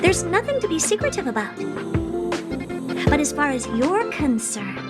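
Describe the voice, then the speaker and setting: High, haughty little genius scientist. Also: female voice, sing-song, normal speed. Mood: dismissive. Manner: condescending, smug, blunt, bored drawl turning to keen curiosity. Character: haughty, doll-like female genius scientist who owns a space station. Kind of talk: video game cutscene acting. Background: music.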